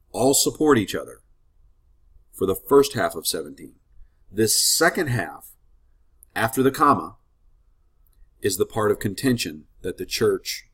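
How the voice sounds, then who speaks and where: Low husky man teaching scripture. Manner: conversational, friendly, rambling asides. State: slightly congested, sniffling. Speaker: ministry teacher. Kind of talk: casual Bible teaching.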